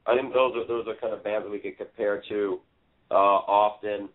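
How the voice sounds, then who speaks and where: A man's voice: on the low side, steady tone, normal speed. A male band member as a guest in a casual interview, speaking earnestly and rambling with many fillers. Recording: tinny phone-line sound.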